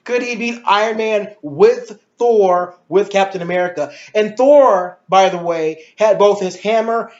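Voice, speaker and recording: A man's voice: high voice, a little slow, hardly any pauses. A man giving casual, opinionated film commentary in an emphatic, ranting way full of rhetorical questions. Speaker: movie reviewer. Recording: muffled, echoey room recording.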